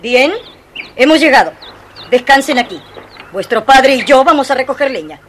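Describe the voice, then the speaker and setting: A woman's voice: high voice, expressive, normal speed, fairly bright tone. A woman playing a harsh, domineering stepmother in a children's audio fairy tale, giving curt commands. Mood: curt, cold. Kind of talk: dramatized children's audio storybook acting. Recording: noisy old vinyl-era storybook recording.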